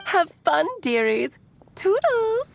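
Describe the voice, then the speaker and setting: A woman's voice: very high-pitched, big pitch swings, takes it slowly, creaky, heavily acted. A woman voicing a playful, theatrical mage aunt in a video game, breezy and teasing, heard as a recorded message. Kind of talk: video game character dialogue. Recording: muffled, faintly noisy message playback.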